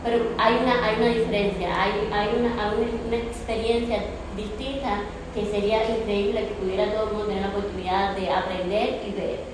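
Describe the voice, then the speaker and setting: A Cuban woman talks earnestly as an artist on a panel, often circling back and restarting her phrases. Her voice rings out in a very echoey exhibition space. Steady chatter hums underneath. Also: mid-range voice, fairly quick, few pauses, far from the mic. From Cuba. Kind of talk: reflective panel discussion. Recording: echoey room with background noise.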